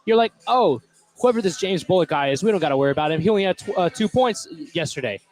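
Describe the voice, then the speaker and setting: A man's voice: high voice, animated, quick pace, a little mellow. An American man giving casual, conversational color commentary on a live basketball game. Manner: conversational, informal.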